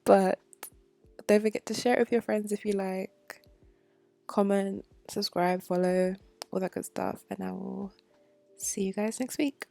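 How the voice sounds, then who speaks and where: Gravelly young woman signing off. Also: mid-range voice, fairly even intonation, unhurried, pauses often, warm tone, no echo, soft background music. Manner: friendly, offhand sign-off. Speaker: podcast host. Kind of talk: solo outro to listeners.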